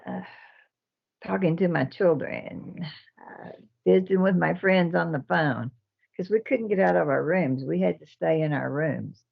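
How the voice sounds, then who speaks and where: An older woman from Texas, a care home resident answering interview questions in a slow, halting way with many fillers. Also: lowish voice, gravelly, breathy edge. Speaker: nursing home resident and resident council president. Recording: dull lo-fi recording.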